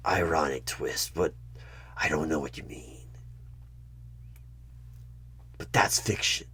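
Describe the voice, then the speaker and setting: A man voicing a sly, coaxing demon recruiter in a husky whisper for a horror ASMR audio roleplay. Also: extremely low pitch, sharp tone, slight background noise. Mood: sly, defensive, persuasive. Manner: slow, drawn-out, insinuating, persuasive.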